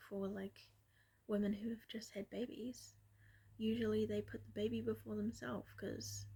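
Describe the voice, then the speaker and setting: A young woman telling a story in a soft ASMR-style whisper, rambling with lots of fillers. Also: on the high side, gravelly, breathy edge.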